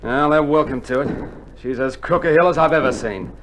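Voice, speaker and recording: A man's voice: fairly high pitch, a little fast, lightly acted. A man acting a wry, doubting Australian infantry soldier in an old radio war drama, speaking in slang. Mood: wry, grim. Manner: excitable, slangy, sceptical. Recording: very noisy old vinyl, battle din.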